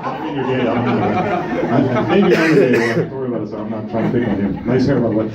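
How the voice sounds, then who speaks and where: A man's voice: medium pitch, fast talker, over a noisy crowd. Crude stand-up man in a club. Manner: crude, profane, teasing the front row. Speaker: stand-up comedian. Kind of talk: open-mic stand-up set. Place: small comedy bar stage with audience. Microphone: handheld stage mic, filmed from far above. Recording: distant roomy lo-fi club recording. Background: audience laughter.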